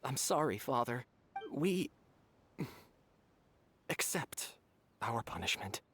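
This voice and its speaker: An actress playing a dutiful, resigned youth accepting punishment in a video game, speaking haltingly and breathily. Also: female voice, a bass voice, sing-song, slight background noise, exaggerated voice.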